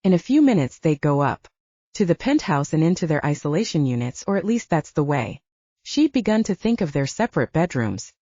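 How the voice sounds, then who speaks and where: A woman narrates fiction for an audiobook in a mellow, husky voice with very lively intonation. It is a scripted read, recorded close and dry with a slightly dull sound. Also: low voice, fairly quick, no room sound.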